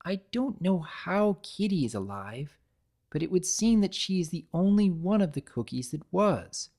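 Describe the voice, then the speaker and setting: A woman voicing a calm, gentle, studious young female cartoon pony who reasons in a measured, considerate way. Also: lowish voice, pitched above the performer's own voice. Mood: apologetic, reassuring.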